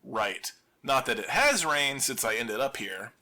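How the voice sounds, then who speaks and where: A man performing a scripted audio drama monologue as a lone survivor hosting a radio show, with wry deadpan humor. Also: mid-range voice, a little slow.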